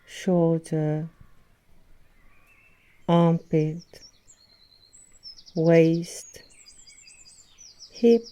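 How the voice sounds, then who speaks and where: A woman leading a guided yoga nidra meditation as an instructor, speaking in a soothing, gentle manner with long pauses between phrases. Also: low voice, steady tone. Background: birdsong and nature sounds.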